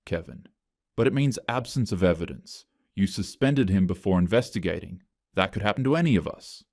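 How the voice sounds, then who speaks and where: A man's voice: sits low, lively intonation, a little fast, vocal fry, a little mellow, no room sound, studio quality, full character voice. A man voicing a blunt male coworker arguing back in a narrated story. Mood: pointed.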